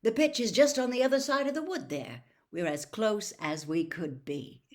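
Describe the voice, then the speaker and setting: A woman voicing a cheerful middle-aged wizard father, eager, genial and easily excited, in a storybook reading. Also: medium pitch, normal speed, natural voice. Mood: happy. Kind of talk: storybook character voice.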